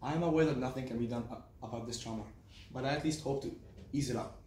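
A man's voice: medium pitch, fast talker, a little mellow. A young man from Israel, a student giving a prepared personal speech in a small classroom. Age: teenager to young adult. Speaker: student speaker. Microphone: camera on a desk, several metres off. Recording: roomy, distant mic, faint noise.

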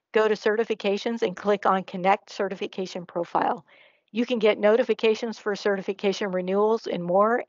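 A woman's voice: mid-range voice, no room sound. Woman presenting, dry dull-sounding call audio. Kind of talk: slide presentation and Q&A answers. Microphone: video call. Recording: slightly dull online meeting audio.

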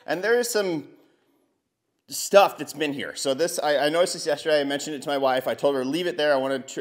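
A man in his thirties casually talking viewers through a product test, chatty, with frequent fillers, on a lapel mic. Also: fairly high pitch. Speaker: car detailing channel host. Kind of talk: casual product review vlog. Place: garage workshop, outdoors by a van, and a home hallway.

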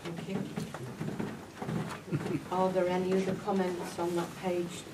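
A woman chairing a formal council meeting, moving briskly through votes and agenda items. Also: on the low side, monotone. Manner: brisk, procedural. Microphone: far room mic. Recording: echoey hall, far mic, clear hiss.

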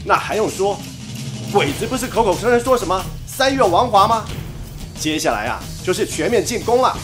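Fiery patriotic station worker acted out, emphatic radio drama delivery, mid-pitched, music clearly behind. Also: big pitch swings, a little fast, close to an everyday voice. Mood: indignant. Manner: emphatic, indignant.

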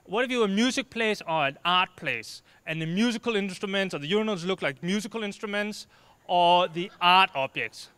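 A Danish man in his thirties giving a lively, joking stage talk into a handheld mic. His voice is bright and crisp, pitched a little high for a man. The sound is dry and close. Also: a little fast, no echo. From Denmark. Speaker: speaker. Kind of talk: short comic talk on stage. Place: dark stage before an audience.

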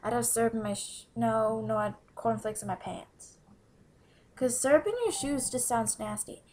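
A giggly teenage girl with a fairly high voice talks straight into a close webcam in a small room. She answers questions in a bubbly, playful way, often dropping to a whisper. A faint hiss of background noise sits under her voice. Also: moderate pace, darkish tone, low-quality recording. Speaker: vlogger answering follower questions. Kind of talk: casual Q&A vlog. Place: small room indoors by a window. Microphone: webcam from below at close range.

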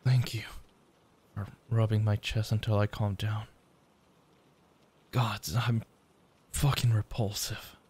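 A man voicing a desperate, lovesick, self-loathing young yandere in an intimate ASMR roleplay, halting and stammering. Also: lowish voice, level tone, a little mellow. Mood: ashamed, yearning. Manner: halting, stammering, pleading, breathy sighs.

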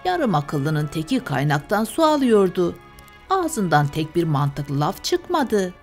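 A woman's voice: on the low side, big pitch swings, takes it slowly, faint music behind, exaggerated voice. Woman as a dismissive witch sister. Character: witch's younger sister, dismissive and easily fooled.

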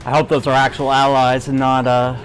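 A man's voice: mid-range voice, monotone, fairly quick, low-quality recording. A man giving casual, light commentary as he plays a video game, muttering offhand reactions and mild exclamations. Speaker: gamer commenting on his playthrough. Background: video game music.

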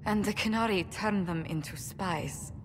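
A woman's voice: medium pitch, measured pace, noisy background, lightly acted. An actress playing a principled, frustrated female diplomat who speaks formally and earnestly in a video game. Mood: indignant. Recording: game audio with long reverb and music.

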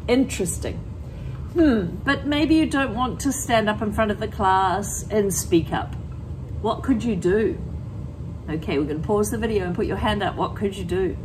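A middle-aged woman teacher reading a picture book aloud to children, with deliberate, expressive phrasing, talking directly to her young listeners. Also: mid-range voice, noisy background. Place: empty school classroom, indoors.

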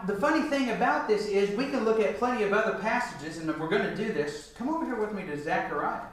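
Echoey high-pitched man lecturing on scripture. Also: fairly bright tone. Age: middle-aged. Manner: rhetorical questions answered by himself. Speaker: church lecturer. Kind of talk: Bible lecture to a congregation. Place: large church meeting room, indoors. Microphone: picked up from a distance. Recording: echoey hall, distant microphone.

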